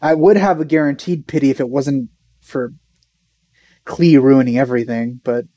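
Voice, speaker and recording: A man's voice: medium pitch, steady tone, moderate pace, dark tone, no echo. A young man giving casual reaction commentary in a laid-back, musing way. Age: young adult. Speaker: reaction video host.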